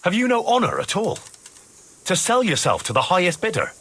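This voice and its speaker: An actor playing an earnest, principled young soldier who argues loyally and challengingly in a video game. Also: male voice, high voice, wildly swooping pitch, a little fast, sharp tone, breathy edge, full character voice. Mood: reproachful. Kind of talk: dramatic video game dialogue.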